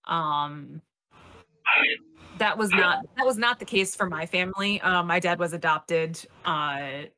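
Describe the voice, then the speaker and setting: A woman speaking on a casual panel discussion, earnest and measured, pausing with "uh"s. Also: fairly low pitch, noisy background.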